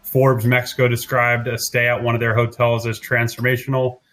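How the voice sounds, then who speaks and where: Guy hosting a podcast, chatty interview questions, pretty even pitch. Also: moderate pace, faint music behind. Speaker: podcast host.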